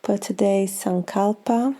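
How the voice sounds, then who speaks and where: A woman guiding a yoga nidra meditation in a calm, soothing, unhurried manner with long pauses. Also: mid-range voice. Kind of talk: guided meditation.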